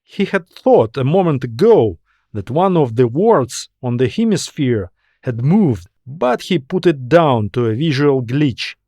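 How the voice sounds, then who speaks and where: Casting: man for sci-fi audiobook narration; measured, slow, deliberate delivery with drama, recorded close and dry. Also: medium pitch, very animated, darkish tone, no echo. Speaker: author and narrator. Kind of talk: scripted science fiction story narration.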